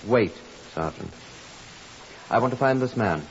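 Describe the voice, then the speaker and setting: An actor playing a stern, impatient police superintendent with a curt, commanding manner in a 1950s radio drama, also narrating. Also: male voice, mid-range voice, lively intonation, a little slow. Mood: grim. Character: stern, impatient male Scotland Yard superintendent. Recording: muffled, hissy 1950s radio recording.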